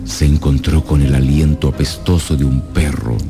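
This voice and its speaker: Male narrator for radio mystery drama: extremely deep voice, measured suspense, music underneath. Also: fairly even intonation.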